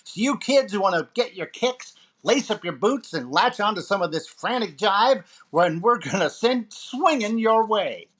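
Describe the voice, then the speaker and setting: A man's voice: sits high, animated, normal speed, hardly any pauses, a bit bright. A man playing a brash, wisecracking comedian as a jive-talking disc jockey in 1940s radio comedy style, with showy, punchy patter. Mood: upbeat, showy.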